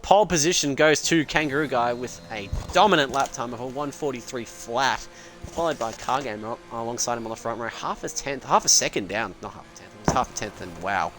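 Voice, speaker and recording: A man's voice: medium pitch, background noise. Chatty Australian man commentating a race. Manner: chatty, swears casually, quick jokes. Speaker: sim racing commentator. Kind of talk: live esports commentary.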